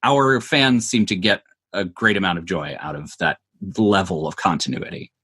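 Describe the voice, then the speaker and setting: A man's voice: sits low, moderate pace, bright, forceful tone, slightly rough. A man giving thoughtful, conversational answers as an interview guest, explaining things with frequent asides. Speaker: interview guest, audio drama maker.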